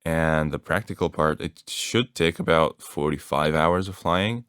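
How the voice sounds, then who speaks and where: A young man from Bulgaria, a native Bulgarian speaker, chatting casually as a podcast guest, relaxed and laughing often. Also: rumbling low, a little slow, clear, resonant tone, no room sound, clean studio sound. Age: young adult.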